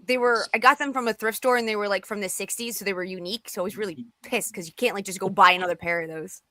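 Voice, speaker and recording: A woman's voice: mid-range voice, steady tone, fast talker, bright, forceful tone, no room sound. A woman in a casual group chat, talking candidly and swearing freely as she tells anecdotes.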